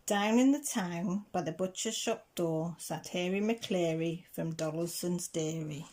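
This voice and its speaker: A woman reading a children's rhyming picture book aloud with measured, deliberate phrasing. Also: lowish voice, a little mellow. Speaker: reader of children's picture books. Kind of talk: storybook read-aloud.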